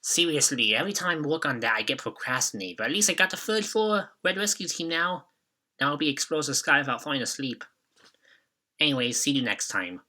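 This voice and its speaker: Young male reviewer with a sharp, forceful, very bright voice, reading scripted retrospective commentary. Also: a little high, expressive, brisk. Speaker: video reviewer and host.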